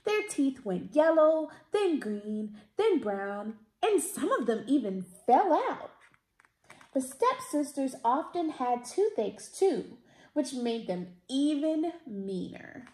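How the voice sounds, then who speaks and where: A woman in her thirties reads a children's picture book aloud expressively, with deliberate, theatrical emphasis. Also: sits high, big pitch swings, darkish tone. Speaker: library storytime reader. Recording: roomy indoor echo.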